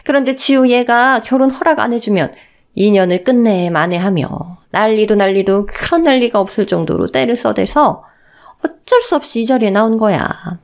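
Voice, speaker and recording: A woman's voice: on the high side, expressive. Woman acting a venomous, haughty mother-in-law, wildly swooping. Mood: scornful berating. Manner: sneering, rapid-fire berating. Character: haughty, venomous middle-aged mother-in-law, openly scornful. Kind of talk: radio story drama acting.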